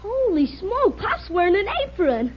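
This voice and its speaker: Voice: very high voice, very animated, measured pace, heavily acted. A performer playing a vain, theatrical adolescent son in an old radio sitcom, boyish and affected. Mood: eager. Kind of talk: 1940s radio sitcom acting. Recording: dull, noisy old radio recording.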